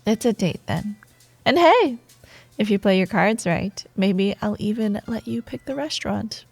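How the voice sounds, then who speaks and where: A woman playing a proud, teasing yet wistful rival coworker in an intimate ASMR romance roleplay monologue, with playful banter, sighs and soft pauses. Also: mid-range voice, animated, creaky, breathy edge, no room sound, heavily acted. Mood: playful, smug. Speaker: audio roleplay performer.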